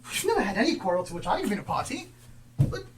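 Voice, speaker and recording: A woman's voice: medium pitch, big pitch swings, quick pace, close to an everyday voice. A woman playing a cheerful, good-hearted young nephew on stage, earnest and exclamatory. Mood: pleading, friendly. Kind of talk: theatrical stage acting. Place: theatre stage, live performance. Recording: distant live stage recording with noise.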